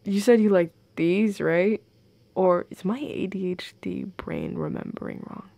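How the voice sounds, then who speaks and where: Goth girlfriend, played by a woman for intimate roleplay audio: unhurried, gravelly fry, soft, playful, affectionate. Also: medium pitch. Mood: tender, affectionate. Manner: teasing, giggly, intimate. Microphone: close, dry microphone.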